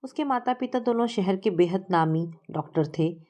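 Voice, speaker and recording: A woman's voice: medium pitch, expressive, normal speed, mellow. A woman narrating a scripted story as a storyteller.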